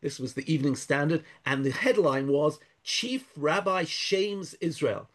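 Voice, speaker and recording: A man speaking thoughtfully in an interview, pausing and restarting often, weaving in Hebrew verses. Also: fairly high pitch, fairly bright tone. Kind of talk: conversational interview.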